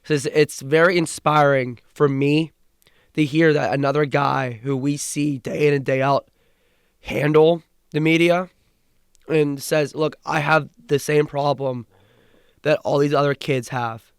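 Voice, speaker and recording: A man's voice: on the high side, steady tone, a little slow, clean tone, no echo, clean studio sound. A young man who stutters, co-hosting a casual sports podcast in an earnest way, often restarting and repeating words. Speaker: podcast co-host.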